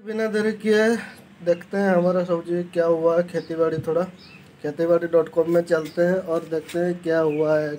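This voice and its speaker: A man's voice: fairly high pitch, little pitch movement, normal speed, warm tone, soft background music, low-quality recording. A young man vlogging on a phone held at arm's length, walking through an outdoor garden and vegetable plot and chatting eagerly to viewers. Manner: chatty, enthusiastic, addresses viewers directly. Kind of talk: casual vlog walkthrough.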